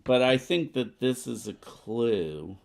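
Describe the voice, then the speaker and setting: A man's voice: medium pitch, lively intonation, slow, gravelly. A man co-hosting a board game playthrough, chatting casually and reading story text aloud.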